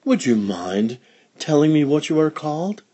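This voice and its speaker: A man voicing a fussy, vague mother pig in a storybook reading, with a high, breathy, bustling manner. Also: big pitch swings, normal speed, dark tone. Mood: polite. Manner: bustling, flustered.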